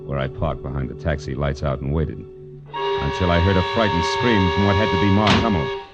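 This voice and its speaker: An actor playing a wry, world-weary private detective in 1940s radio noir, with clipped, sardonic delivery. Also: male voice, sits low, fairly even intonation, normal speed, close to an everyday voice. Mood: tense, grim. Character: hard-boiled male private detective, wry and cool. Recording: dull vintage radio recording with music.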